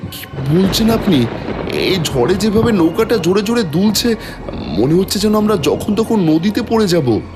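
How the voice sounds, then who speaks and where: Man voicing frightened boat passenger Suman, high-strung. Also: sing-song, moderate pace, over music. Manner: anxious, urgent questioning. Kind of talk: animated horror story dialogue.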